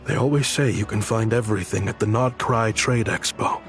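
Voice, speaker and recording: An actor voicing a weary adult man who looks after children, speaking in a thoughtful, wry, measured way. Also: on the low side, soft background music, lightly acted. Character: weary adult man caring for orphaned kids. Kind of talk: video game dialogue.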